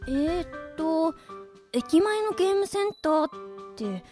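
A woman playing an excitable, self-mocking teenage schoolgirl who narrates her own flustered thoughts in a radio drama. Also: very high voice, soft background music. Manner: flustered inner monologue, quick swings. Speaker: narrator and lead character.

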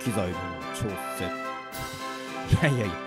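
An actor playing a flustered young office worker in a radio drama, blunt and casual, muttering and exclaiming. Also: male voice, on the low side, takes it slowly, over loud music. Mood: suspicious, baffled. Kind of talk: Japanese radio drama acting.

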